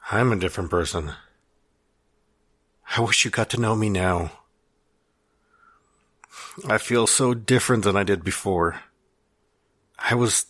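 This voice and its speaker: A man reading a personal letter in a reflective, confessional and plainspoken way, with pauses between thoughts. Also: fairly low pitch, a bit bright, dry recording, studio quality.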